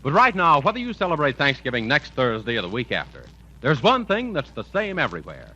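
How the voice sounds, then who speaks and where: An American man delivers polished, emphatic 1940s radio announcing. His voice is bright and swooping with a slightly husky edge, on a muffled old recording. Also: mid-range voice, wildly swooping pitch, brisk.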